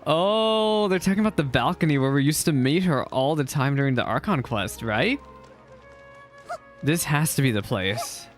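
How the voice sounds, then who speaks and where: A young man chatting excitably as he reacts to a game, talking over the dialogue. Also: on the high side, moderate pace, soft background music. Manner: excitable, chatty, laughs often. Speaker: gaming reaction streamer. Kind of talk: casual reaction commentary.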